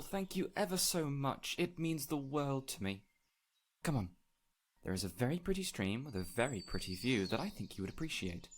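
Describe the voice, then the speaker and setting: A man playing a peculiar, eager fey man in an audio roleplay, courteous and theatrical, with flowery, excitable phrasing. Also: a little high, a little hiss or hum. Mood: delighted, friendly. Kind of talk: immersive audio roleplay addressed to the listener.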